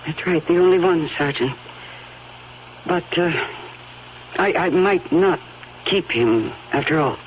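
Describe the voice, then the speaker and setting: An actress with an American accent playing a guarded, evasive rancher's daughter in a radio drama, with a clipped, defensive manner that falters. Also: female voice, on the low side, slow pace, pauses often. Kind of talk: old-time radio drama acting. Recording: dull, noisy old radio recording.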